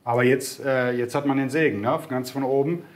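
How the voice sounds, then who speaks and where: Man, co-host, eager, opinionated, mid pitch. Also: steady tone, fairly quick. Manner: enthusiastic, bold opinions, frequent "ne?" tags. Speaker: co-host of a broker's market show. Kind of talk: casual market chat.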